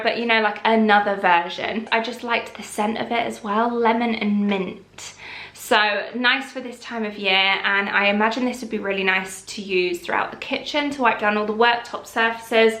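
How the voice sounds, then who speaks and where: A young woman with a bright, clear voice chats her way through a haul in a casual, rambling way. Her talk sits a little high and stays calm and relaxed. She is recorded in a room with a noticeable echo. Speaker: vlogger.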